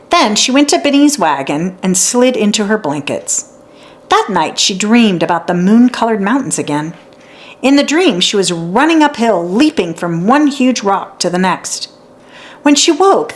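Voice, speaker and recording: Woman, children's read-aloud narrator, expressive storybook delivery, very animated intonation, medium pitch. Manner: acts out dialogue and sounds out letters.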